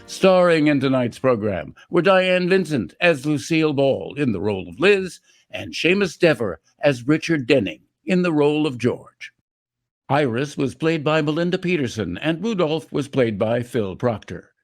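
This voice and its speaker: Animated male radio announcer. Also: fairly high pitch, moderate pace. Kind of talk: formal scripted radio sign-off.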